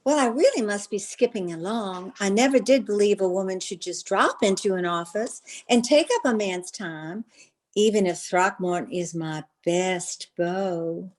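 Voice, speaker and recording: A woman's voice: medium pitch, a little slow, lightly acted. Coquettish belle acted by a woman. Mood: gushing flirtation. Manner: flirtatious, gushing, genteel. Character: coquettish, flattering Southern belle lady. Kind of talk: old-time radio comedy acting.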